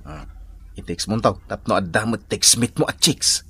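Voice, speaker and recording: A man's voice: on the low side, animated, moderate pace, sharp tone, husky, full character voice. A man acting in a comedic radio drama as a teasing, girl-chasing buddy, joshing, chuckling and exclaiming. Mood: mischievous. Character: teasing, girl-chasing buddy who loves to joke around.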